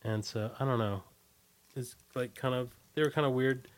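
A middle-aged American man reminiscing casually as an interview guest, talking in a halting, rambling way with lots of "like". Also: mid-range voice, fairly even intonation. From Washington, D.C., USA. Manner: halting, hesitant, rambling, frequent "like".

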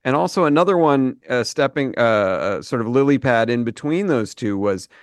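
A man's voice: mid-range voice, expressive, moderate pace, clear, resonant tone, no echo, clean studio sound. A man hosting a casual interview, asking enthusiastic, rambling questions full of fillers and restarts. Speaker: podcast host.

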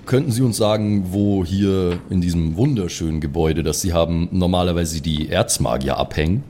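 A cocky, simple ex-soldier, acted by a man at a game table. His voice is low and mellow, casual and smooth-talking, with slight noise behind it. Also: moderate pace, lightly acted. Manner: casual, laid-back, mock-charming. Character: Greg, cocky, simple-minded male ex-soldier.

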